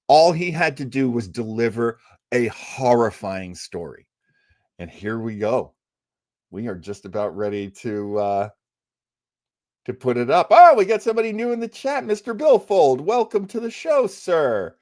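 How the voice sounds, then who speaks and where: A man's voice: medium pitch, sing-song, measured pace, darkish tone, no echo, clean studio sound. A man hosting a casual live stream, talking in an enthusiastic, rambling way and acting out bits of conversation. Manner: enthusiastic, rambling, acts out quotes. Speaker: livestream host.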